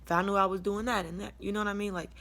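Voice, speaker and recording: A young American woman with a deep, low-toned voice tells her personal story to camera in a casual, candid, colloquial and rambling way. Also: steady tone, racing, fairly bright tone. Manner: candid, colloquial, rambling, chuckles as she talks. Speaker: vlogger sharing a personal testimony.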